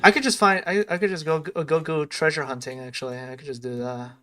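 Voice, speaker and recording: A woman's voice: low-pitched, fairly even intonation, fairly quick, fairly bright tone, slight background noise. A woman streamer giving casual live gaming commentary, exasperated and self-blaming, repeating herself in mutters and outbursts. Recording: game music behind the voice. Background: game music.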